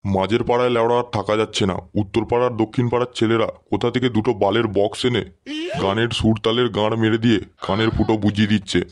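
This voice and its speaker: Brash cartoon village tough, male actor: lowish voice, rapid crude slang, combative delivery. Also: steady tone, lightly acted. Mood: irritated. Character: brash, foul-mouthed village men in a comedy cartoon. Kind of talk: cartoon comedy dubbing. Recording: faint music behind the voice.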